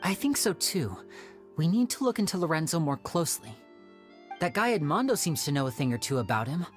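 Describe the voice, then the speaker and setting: A woman voicing a composed, courteous, shrewd young character in a video game, measured and wry. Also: low voice, very animated, faint music behind. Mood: wry, resolute. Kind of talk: English video game voice acting.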